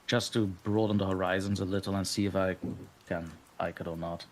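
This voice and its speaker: Belgian man, low voice, modest interview guest who laughs easily. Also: fairly even intonation, a little fast, a little hiss or hum. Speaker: guest narrator. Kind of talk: casual interview chat.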